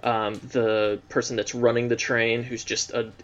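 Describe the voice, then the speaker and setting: A man talking casually about movies, pausing often with ums and likes. The recording sounds slightly dull and muffled. His voice sits at a middle pitch. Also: level tone. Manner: hesitant, many fillers and likes. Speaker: podcast co-host. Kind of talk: casual film podcast chat.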